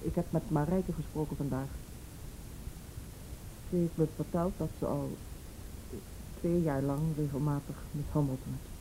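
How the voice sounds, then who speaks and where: An actress playing a calm, insistent juvenile police officer in a radio drama, speaking formally and with measured persistence. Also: female voice. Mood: grave, measured. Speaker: actor in a radio play. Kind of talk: Dutch radio drama acting. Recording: rumbly, noisy old radio recording.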